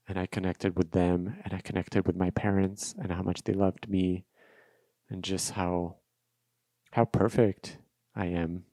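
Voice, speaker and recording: Deep-voiced, reflective man, close and dry. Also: mellow, dry recording. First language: Russian. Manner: reflective, repeats phrases for emphasis. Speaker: podcast host. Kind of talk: unscripted solo podcast monologue, personal storytelling.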